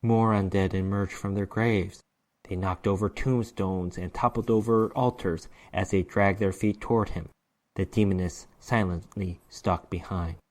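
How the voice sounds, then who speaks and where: A man's voice: sits low, steady tone, a little slow, a little mellow. A man narrating a horror short story as a dramatic reading, with theatrical, emphatic delivery.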